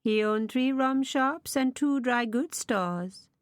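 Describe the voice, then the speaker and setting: A woman's voice: high-pitched, slow pace, dry recording, pitched above the performer's own voice. A woman voicing a sly, gossiping servant woman, talking in a knowing way.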